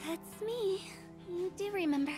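Woman voicing a very high-pitched, timid young woman. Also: unhurried. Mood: timid, apologetic. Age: young adult. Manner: hesitant, secretive. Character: shy bespectacled young woman, timid but ominously insistent. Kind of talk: visual novel character reading. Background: background music.

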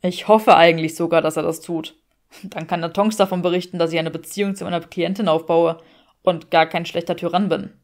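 Woman doing a haughty, lower-voiced wizard gentleman. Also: fairly even intonation, brisk, natural voice. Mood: indignant. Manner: haughty, clipped, dry. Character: haughty dry young wizard gentleman, drily courteous.

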